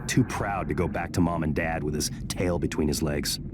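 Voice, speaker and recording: A resolute man in a video game, speaking very low over clear background noise. His delivery is measured and blunt, with the gravity of a serious warning. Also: steady tone. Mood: grave, regretful. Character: grave, resolute man leaving a warning message.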